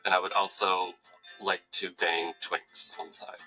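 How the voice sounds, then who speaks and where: Deep, very bright-voiced older man. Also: little pitch movement, a little slow, soft background music, low-quality recording. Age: middle-aged or older. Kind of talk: interview testimonial.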